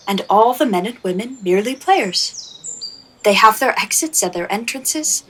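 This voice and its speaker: A woman's voice: mid-range voice, a bit bright, breathy edge, rough recording. A woman playing a reflective, wry and melancholy philosopher delivering a Shakespearean monologue in a radio play.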